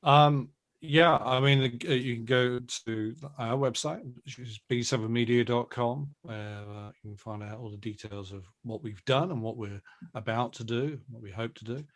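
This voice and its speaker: A man's voice: medium pitch, moderate pace. A man speaking as a producer guest in a casual, conversational interview.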